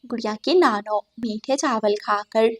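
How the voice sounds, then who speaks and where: High-pitched woman narrating a story. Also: a little slow.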